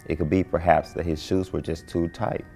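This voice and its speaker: A woman's voice: a bass voice, level tone, moderate pace, warm tone. A woman reading a children's story aloud in a reverberant space, with music underneath. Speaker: library staff member reading aloud.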